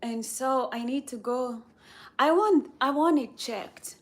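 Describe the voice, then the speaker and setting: A woman from Kenya chatting casually on a live stream, rambling in an unhurried way and talking straight to her viewers. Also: sits high. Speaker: livestreamer.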